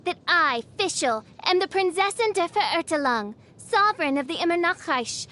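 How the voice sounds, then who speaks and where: A woman's voice: very high-pitched, lively intonation, moderate pace, bright, forceful tone, heavily acted. A woman voicing a theatrical teenage girl who poses as an imperious princess from another world in a video game, speaking in pompous, archaic phrases. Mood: regal, boastful. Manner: pompous, grandiose, archaic diction. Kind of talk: video game character voice acting.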